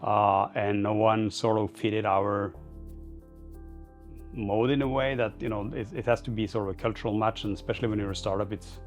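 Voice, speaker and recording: A man's voice: on the low side, fairly even intonation, moderate pace, soft background music. A man giving informal interview answers as a startup founder, in a hesitant, loosely phrased, rambling way. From Norway.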